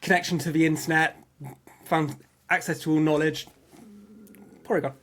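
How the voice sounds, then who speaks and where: A man's voice: on the high side, fairly even intonation, normal speed, a bit bright, slight background noise. A man chatting casually as an interview guest, explaining things informally and often peppering his sentences with "like".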